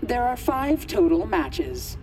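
Obedient database machine, high-pitched, slow, formal announcer, audio drama, music bed. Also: female voice, very animated, darkish tone. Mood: neutral obedient. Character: obedient, emotionless female database computer.

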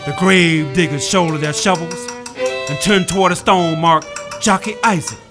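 A man narrating a story in a measured, solemn, evocative way. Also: high voice. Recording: narration over clear music. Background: music and rain.

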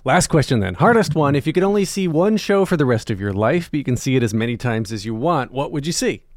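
A man's voice: mid-range voice, animated, fast talker, no room sound, studio quality. A man hosting a podcast interview in an informal, enthusiastic, chatty way. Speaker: podcast host. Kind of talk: casual interview chat.

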